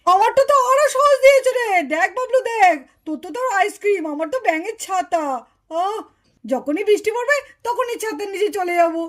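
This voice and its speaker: Squeaky, fast-talking child doll. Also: female voice, lively intonation. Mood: playful, mischievous. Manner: childish, eager, repeats words. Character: squeaky, mischievous, excitable little child doll. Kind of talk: puppet doll story for children.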